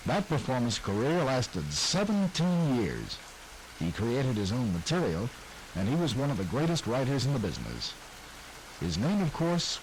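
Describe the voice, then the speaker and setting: Male narrator, announcer-style, lively pitch, light tape hiss. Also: poor audio. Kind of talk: scripted narration.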